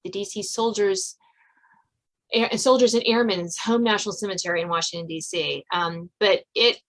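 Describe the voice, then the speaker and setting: Female podcast host, American, from Virginia. Conversational, self-deprecating, wanders into asides, medium pitch. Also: steady tone, normal speed. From Virginia, United States. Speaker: podcast host interviewing an author. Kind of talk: casual conversational interview.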